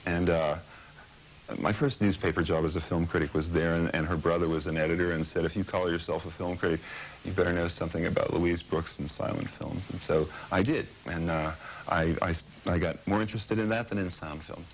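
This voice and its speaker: A man's voice: low voice, steady tone, fairly quick. A man from Pittsburgh, an author answering interview questions in a thoughtful, hesitant way with many fillers. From Pittsburgh, United States. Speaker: author and guest. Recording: muffled broadcast audio with faint hiss.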